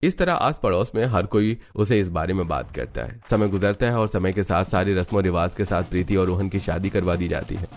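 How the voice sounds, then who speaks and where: A man's voice: sits low, a little fast, few pauses. A man narrating a scripted moral story as its storyteller.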